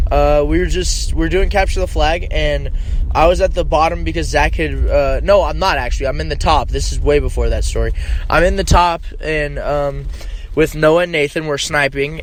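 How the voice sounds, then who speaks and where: Young man, fairly high pitch, casual storytelling, free swearing, faint vehicle noise. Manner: swears freely. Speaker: podcast host. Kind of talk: casual storytelling chat with friends. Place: inside a car. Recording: noisy, recorded inside a car.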